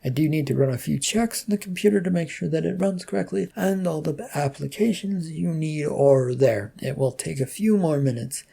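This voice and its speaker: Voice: mid-range voice, normal speed, creaky, hardly any pauses, dark tone, husky, voice pushed up. A storyteller playing a weary, sarcastic male office IT worker with a dry, hesitant manner.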